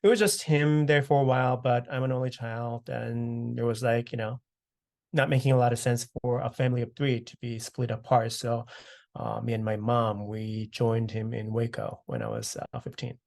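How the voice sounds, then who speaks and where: A man in his thirties from South Korea, answering oral history questions over a video call, relaxed and full of "uh" and "you know". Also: medium pitch, normal speed, creaky. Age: 30s. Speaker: interviewee.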